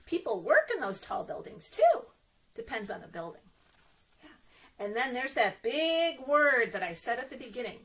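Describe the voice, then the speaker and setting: High lively lady doing story time for little kids, bouncy pitch, encouraging, asks them stuff. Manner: animated, encouraging, sing-song, asking the listeners questions. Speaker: children's librarian leading story time. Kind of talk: interactive children's story time, talking directly to young children.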